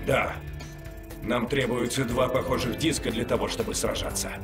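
A man voicing an earnest, fussy robot vehicle companion who lectures and scolds in a dubbed animated series. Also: medium pitch, moderate pace, reverberant, natural voice. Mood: serious. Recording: loud music under voice.

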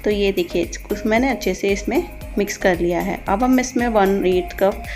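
A woman's voice: mid-range voice, normal speed, a little mellow, soft background music. A woman giving informal step-by-step instructions as a cooking channel host. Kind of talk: recipe voice-over, step-by-step instruction.

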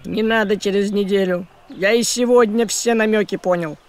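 Excitable, high-pitched fussy cartoon cat. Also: male voice, normal speed, very noisy. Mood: worried, grumbling. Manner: excitable, grumbling. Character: thrifty, fussy talking male farm cat. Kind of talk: animated cartoon acting. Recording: music behind the voice.

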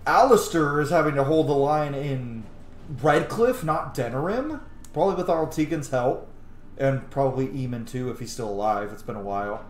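A man's voice: mid-range voice, moderate pace, slight background noise. A man in his thirties giving casual, sweary game commentary, thinking aloud as he plays. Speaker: game streamer. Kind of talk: casual roleplay commentary over gameplay. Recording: echoey room.

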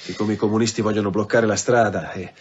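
An actor playing a plain-spoken, practical local carabiniere in a radio drama. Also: male voice, lowish voice, steady tone, fast, a little hiss or hum, close to an everyday voice. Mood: matter-of-fact. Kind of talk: Italian radio drama acting. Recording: dull radio drama recording.

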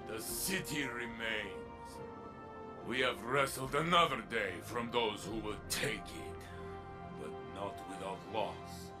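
An actor playing a noble armored robot warrior, earnest and declamatory, in a dramatic video game. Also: male voice, mid-range voice. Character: noble armored robot warrior, fervent protector, warm to allies.

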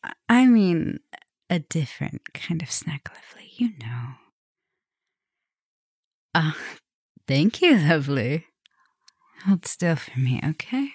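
A woman playing a flirtatious, softly dominant vampire professor in an ASMR roleplay, intimate and teasing, sometimes dropping to a whisper. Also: deep voice, sing-song, slow pace, pauses often, breathy edge, no echo, clean studio sound, exaggerated voice. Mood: playful, inviting, then hungry, intimate. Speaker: roleplay audio performer.

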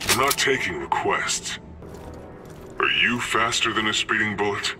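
A smug hired gun from a video game. He makes dry, cocky threats in a low voice over background music. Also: animated, a little slow, pushed and bright, exaggerated voice. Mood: cocky, threatening. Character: cocky male mercenary gunman, wisecracking hired killer. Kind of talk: video game character acting.

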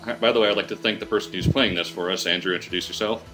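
Male gaming host, lower register, forceful tone, relaxed chat, soundtrack underneath. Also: rapid-fire. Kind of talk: casual game commentary. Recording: game music behind the voice. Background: video game music.

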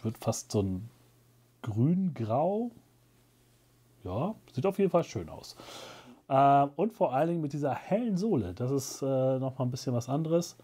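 A man's voice: medium pitch, very animated, moderate pace, mellow, breathy edge. A man in his forties presenting a casual shop show to camera, chatting in a rambling, jokey, self-ironic way. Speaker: shop owner presenting a weekly web show. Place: small cluttered indoor room.

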